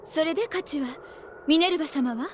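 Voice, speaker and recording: A woman's voice: high-pitched, fast, a little mellow, lightly acted. An actress playing a tender, polite young woman knight, the caring older sister, in a radio drama. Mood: concerned. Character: gentle, soft-spoken older-sister female knight. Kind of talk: Japanese radio drama acting. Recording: faint ambient noise.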